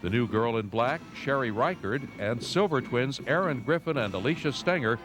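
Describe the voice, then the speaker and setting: Male newsreel narrator, American, mid-range voice, scripted read with music clearly behind. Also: medium pitch, expressive, moderate pace, low-quality recording.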